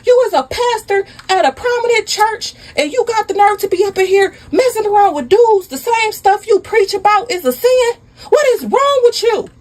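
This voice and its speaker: A woman voicing a betrayed, furious wife who confronts her cheating husband in a loud, accusing, indignant manner, in a spoken story. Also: fairly high pitch, normal speed, few pauses, distant mic, close to an everyday voice. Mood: enraged.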